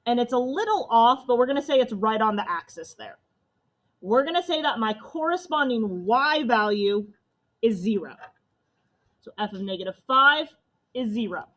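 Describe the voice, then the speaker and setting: A young woman teacher with a loud, fairly high voice walks her class through a math lesson. She explains each step plainly and checks in with the students for questions.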